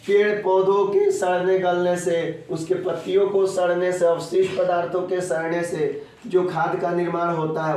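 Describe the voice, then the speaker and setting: A man's voice: high-pitched, darkish tone. A man with a sore throat, teaching school children in a didactic way, repeating points and quizzing them. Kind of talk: classroom geography lesson for school children. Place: echoey room, teaching in person. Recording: very echoey room, distant mic.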